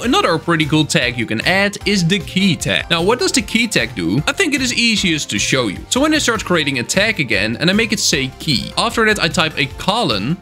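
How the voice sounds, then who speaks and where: A man whose first language is Dutch talks through a game tutorial as a voice-over, upbeat and eager as he explains each step. His voice sits a little high and swings lively up and down, with a bright, forward ring. A faint music bed plays underneath. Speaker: Minecraft tutorial host. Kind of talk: screen-recorded software tutorial. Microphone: voice-over over gameplay footage. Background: soft background music.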